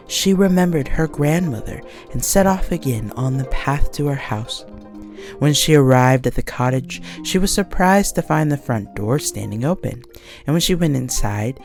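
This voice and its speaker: A woman narrating a scripted children's story as an expressive read-along storyteller. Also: very deep voice. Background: soft music.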